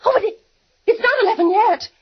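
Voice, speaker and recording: Casting: panicky invalid wife, very high voice, old muffled radio sound. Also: female voice, a little fast. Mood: frantic. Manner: panicky, pleading, breaking into sobs. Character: frantic bedridden woman alone at night. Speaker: lead actress. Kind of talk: 1940s radio acting. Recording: muffled 1940s radio broadcast.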